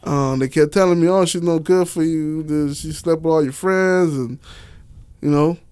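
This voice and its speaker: A man's voice: a little high, normal speed, a little mellow, clear, resonant tone, dry recording. A man telling personal stories casually as an interview guest, slangy and unfiltered, swearing freely. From Miami, Florida. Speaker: interview guest, author and stage play director.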